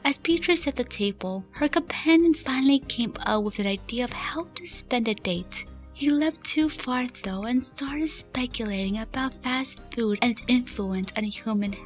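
A woman reading scripted story narration as the narrator of a fan radio play. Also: on the high side. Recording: faint music behind. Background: faint background music.

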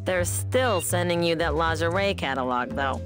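An actress playing a sardonic office worker in a video game, with deadpan teasing delivery. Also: female voice, fairly low pitch, normal speed, music in the background, lightly acted.

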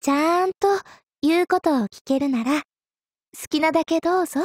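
An actress voicing a young sword maiden in an anime mobile game, polite and coy, with a teasing, possessively sweet manner. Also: female voice, high-pitched, normal speed, dry recording, clean studio sound, close to an everyday voice. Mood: teasing, faintly menacing. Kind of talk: Japanese anime mobile game character lines.

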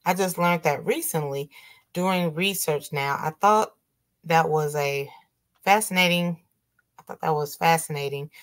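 A woman with a raspy voice hosting a casual livestream over a video call, reading out viewer comments. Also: low voice, measured pace. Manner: reads viewer comments aloud. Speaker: livestream host. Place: home room.

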